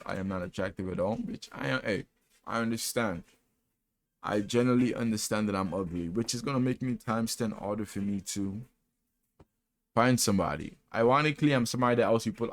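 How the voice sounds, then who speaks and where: A man in his twenties candidly talking through a personal reflection vlog, self-deprecating and rambling, with casual slang and frequent restarts. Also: fairly low pitch. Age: 20s. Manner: casual slang, says "bro" often. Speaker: vlogger.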